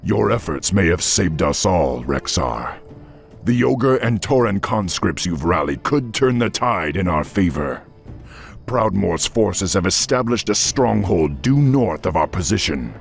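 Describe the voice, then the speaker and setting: A male actor playing a noble, stern orc war chief in a fantasy video game, with a gruff voice and commanding, oratorical delivery. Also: sing-song, a little slow, faint music behind, full character voice. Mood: solemn, proud. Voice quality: deep, gruff, gravelly.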